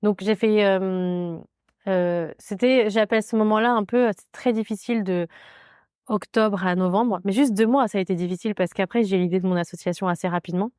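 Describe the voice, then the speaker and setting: A woman from France, a podcast guest, telling her personal story candidly in a halting way, with many fillers and restarts. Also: medium pitch, fairly even intonation, darkish tone, clean tone, dry recording, clean studio sound.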